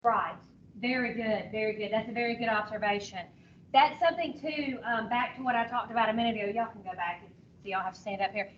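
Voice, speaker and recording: Loud, higher-voiced woman teacher, distant recording. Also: quick pace. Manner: directive, conversational, repeats short phrases for emphasis. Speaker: teacher leading a co-teaching training session. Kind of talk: informal classroom instruction. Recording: dull, noisy, distant room recording.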